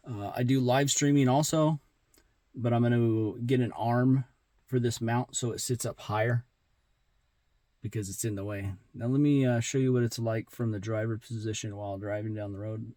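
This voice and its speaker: A man's voice: mid-range voice, darkish tone, no echo. A man with an American accent giving a casual, plainspoken hands-on walkthrough as a vlogger. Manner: plainspoken, informal. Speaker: vlogger showing his vehicle radio install.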